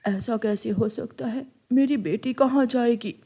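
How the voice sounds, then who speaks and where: Woman voicing a mellow, gentle father bird. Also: a little high, measured pace, creaky. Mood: troubled. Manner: earnest, gentle.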